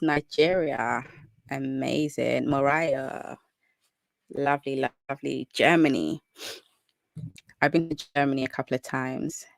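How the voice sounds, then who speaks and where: A woman's voice: sits low, vocal fry, no room sound. A young adult woman with a British accent, hosting a live Q&A about children's hair care, chatty and upbeat, greeting viewers by name. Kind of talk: live stream chat with viewers.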